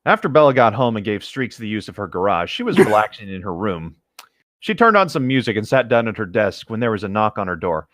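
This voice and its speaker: A man's voice: lowish voice, very animated, fast talker, few pauses, fairly bright tone, no room sound, studio quality. A young adult man co-hosting a comedic fan fiction reading in a casual, wry, slightly stumbling way. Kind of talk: casual, joking commentary and read-aloud.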